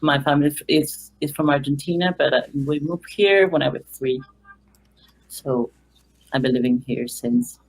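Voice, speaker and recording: A woman with a Mexican accent, a native Spanish speaker, speaking English as a documentary filmmaker and teacher in an interview. She talks in an earnest, halting way with many fillers. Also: sits low, breathy edge, poor audio. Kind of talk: remote interview.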